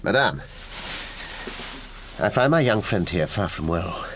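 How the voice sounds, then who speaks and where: An actor playing a grave, weary but kindly country doctor in a period audio drama, measured and formal. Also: male voice, deep voice, expressive, fairly bright tone, slight background noise. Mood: grave, firm.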